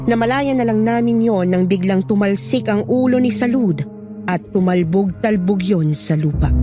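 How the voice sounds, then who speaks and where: A woman giving a scripted narration of a horror story as its narrator. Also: medium pitch.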